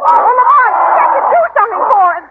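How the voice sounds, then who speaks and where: A woman's voice: very high-pitched, sing-song, quick pace, shouting. A woman playing a plucky young lady in a melodramatic 1940s radio thriller. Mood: alarmed. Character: plucky young lady, the hero's companion in peril. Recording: noisy, tinny 1940s radio recording.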